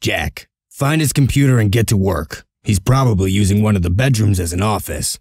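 Male actor as stern male boss of a covert crew, low pitch, curt orders, dry close recording, comic dub. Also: a little mellow, breathy edge, dry recording. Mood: commanding. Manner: curt, authoritative, unhurried orders. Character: stern male leader of covert break-in, commanding, shrewd.

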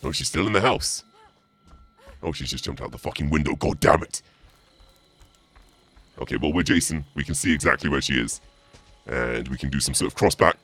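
A man commentating on a game in a theatrical, mock-menacing, sweary way, his voice pitched down by a voice changer. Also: normal speed, pauses often, fairly bright tone. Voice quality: deep, gravelly, through a voice changer. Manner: theatrical mock-menacing taunts mixed with muttering. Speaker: streamer playing a game as the killer.

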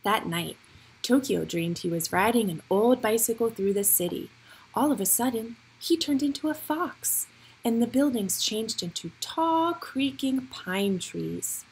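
A woman reading a picture book aloud for young children, with expressive, deliberate storytelling phrasing. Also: fairly high pitch, slight background noise, rough recording.

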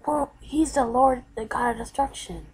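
A woman's voice: on the high side, normal speed, a little mellow, husky. A woman voicing a bossy male otherworldly martial-arts master, emphatic and instructing, in a game roleplay. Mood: bossy, urgent. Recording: noisy home recording.

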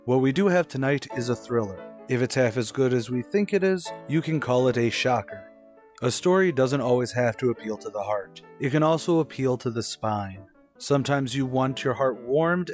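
A man's voice: medium pitch, expressive, moderate pace, a little mellow, soft background music. Formal male host of a suspense show. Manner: formal, wry, old-fashioned. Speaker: radio play host. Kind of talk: scripted theatrical introduction.

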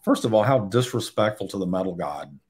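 A man's voice: on the low side, animated, a little fast, a little mellow, breathy edge. A man in his forties from Georgia, a guest on a video call, chatting casually with blunt, swear-laden storytelling. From Georgia, USA. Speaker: guest, photographer. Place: home room, indoors. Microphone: webcam on a video call.

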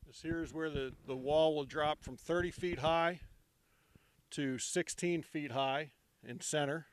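A middle-aged man giving a relaxed walking tour of an outdoor construction site, speaking into a handheld microphone with many ums. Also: fairly high pitch, a little slow, no echo.

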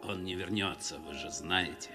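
A man's voice: sits low, moderate pace, over music, lightly acted. A man playing a menacing middle-aged villain in a dubbed film drama, insinuating and intimate in manner. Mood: menacing persuasion.

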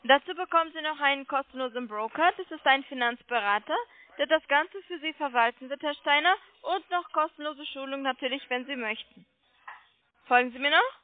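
A woman with a high-pitched voice makes a sales call. She is polite but persistent, coaxing the listener with questions. The sound is a dry, narrow telephone line. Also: a little fast, dry recording. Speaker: telemarketing caller selling online trading. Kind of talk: sales pitch over the phone. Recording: muffled phone line.

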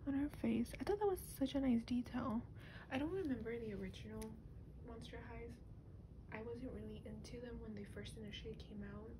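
A woman speaking softly and half-whispering in a casual ASMR show-and-tell, with a gentle, hushed delivery. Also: a little high. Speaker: host of an ASMR doll video. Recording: clearly noisy recording with ambient hiss.

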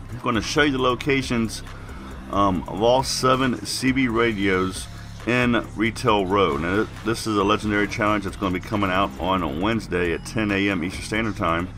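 A man's voice: fairly low pitch, moderate pace, fairly bright tone, soft background music. A man giving casual, plain-spoken game walkthrough commentary as a video host while getting over a cold.

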